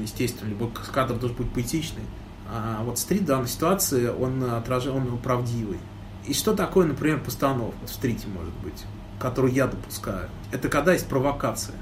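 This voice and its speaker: A man being interviewed at a photo exhibition about his photography, speaking reflectively and emphatically, with sudden rises in pitch. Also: slight background noise.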